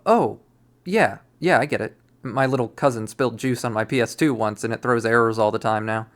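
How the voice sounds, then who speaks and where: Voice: mid-range voice, fairly quick, natural voice. A performer voicing a casual, eager, slightly awkward young visual novel protagonist with a questioning manner. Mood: hesitant, placating.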